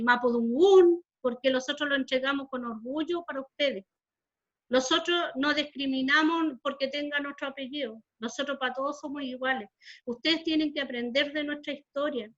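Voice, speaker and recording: A woman with a Chilean Spanish accent speaks to a class over a video call. Her voice sits high, and she talks earnestly and with feeling, circling back over her own words. The sound is dull and lo-fi. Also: fairly bright tone. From southern Chile. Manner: earnest, emotional, repeats phrases. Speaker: Mapuche community speaker. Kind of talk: heartfelt talk to a class.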